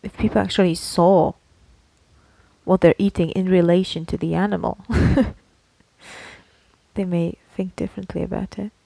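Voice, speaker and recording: Creaky-voiced woman chatting on a podcast. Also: lowish voice, flat delivery, normal speed, long pauses, mellow, dry recording, rough recording. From Slovakia. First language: Slovak. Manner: chatty, explanatory, checks in with listener. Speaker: podcast co-host. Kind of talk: casual conversational podcast chat.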